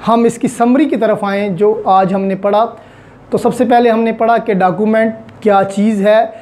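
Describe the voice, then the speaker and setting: A woman with a Pakistani accent and a dark, mellow voice at a middling pitch. She lectures didactically, explaining points step by step and dropping in English technical terms. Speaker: law lecturer. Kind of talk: explanatory online lecture with everyday examples.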